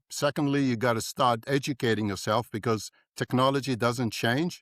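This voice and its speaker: Older man from South Africa, dentist guest on a podcast, animated, keeps asking "right?", mid-pitched voice, dry sound. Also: dry recording. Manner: animated, repeats "right?" often. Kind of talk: podcast interview.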